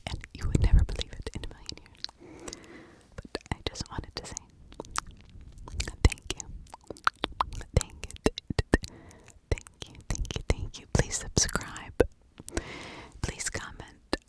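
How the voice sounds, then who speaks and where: A woman whispering in an informal ASMR style, telling stories and giving her own opinions in a breathy, conversational way. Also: slow pace, pauses often. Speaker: ASMR true crime storyteller, channel host.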